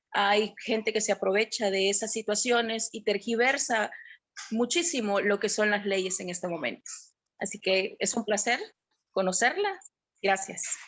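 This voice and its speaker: A woman introduces herself over a video call. She speaks earnestly and thoughtfully, at a middle pitch. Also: fairly bright tone. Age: 40s. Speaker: workshop participant, psychologist.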